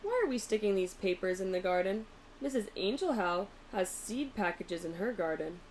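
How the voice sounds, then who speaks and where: A woman's voice: medium pitch, expressive, normal speed, a little mellow, a little hiss or hum, lightly acted, rough recording. A woman voicing a curious young girl in a storybook, eager, questioning and pleading.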